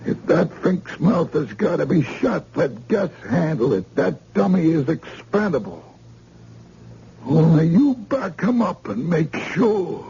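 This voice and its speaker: An actor playing a threatening gangster boss who gives clipped orders in an old-time radio drama. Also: male voice, fairly high pitch, sing-song, takes it slowly, creaky, warm tone, exaggerated voice. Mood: menacing. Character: menacing male gangster boss giving orders. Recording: hissy, muffled old radio recording.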